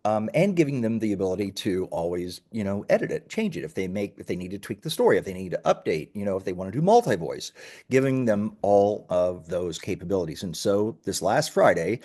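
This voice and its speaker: Lower-voiced man explaining in an interview. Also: sing-song, a little fast, a little mellow. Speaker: company founder, interview guest. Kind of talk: conversational interview answers, explaining his business.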